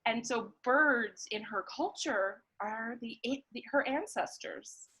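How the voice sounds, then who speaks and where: A woman chatting casually and warmly, telling anecdotes in an informal, halting way with repeated words. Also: on the high side, husky, low-quality recording. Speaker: conversation partner, guest. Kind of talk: casual, warm chat and anecdote.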